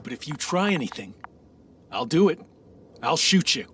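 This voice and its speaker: An actor playing a hostile, embittered space technician holding a captive in a dramatic game, forceful and accusing. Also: male voice, on the high side, big pitch swings, normal speed, far from the mic, a little hiss or hum. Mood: bitter.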